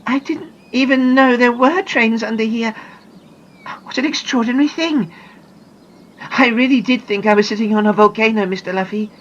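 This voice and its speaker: A woman voicing a frightened, excitable young girl who cries out in shrill, breathless panic and pleads for help, with soft music behind. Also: moderate pace, highs cut off, slight background noise, natural voice. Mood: embarrassed.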